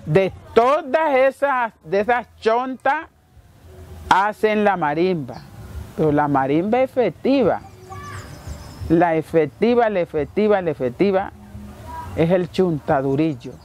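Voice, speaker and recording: Slow-spoken man. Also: high-pitched, animated, darkish tone, slight background noise. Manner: slow, repetitive, explanatory. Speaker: marimba maker. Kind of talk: documentary interview.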